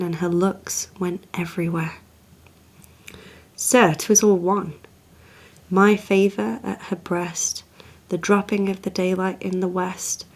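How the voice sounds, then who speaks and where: A cold, proud Renaissance duke performed by a woman delivers a dramatic verse monologue at a slow, measured pace. The manner is haughty and insinuating, often hushed, with possessive menace under the courtesy. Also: lowish voice. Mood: simmering disdain. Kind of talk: dramatic monologue, poetry reading.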